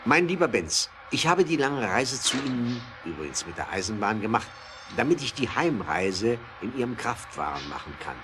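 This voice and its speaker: A man's voice: medium pitch, very animated, normal speed, noisy background, natural voice. A man acting an adventurous aristocratic motorist in a German radio play, thoughtful, confident and resolute. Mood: courteous, eager.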